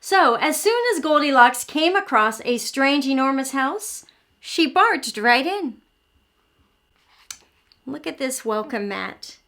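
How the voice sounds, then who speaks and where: A woman's voice: high-pitched, animated, slow pace, bright, forceful tone. A woman hosting an interactive read-aloud for young children, telling the story expressively and explaining as she goes. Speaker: host of children's early learning show.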